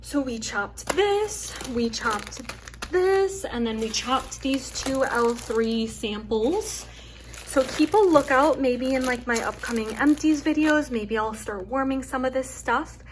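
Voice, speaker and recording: A young woman with a soft, calming voice chatting casually and rambling with lots of fillers as she hosts a craft video at home. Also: sits high, slow, a little hiss or hum, low-quality recording. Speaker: craft channel host. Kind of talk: casual chat while chopping wax. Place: home with her dog nearby.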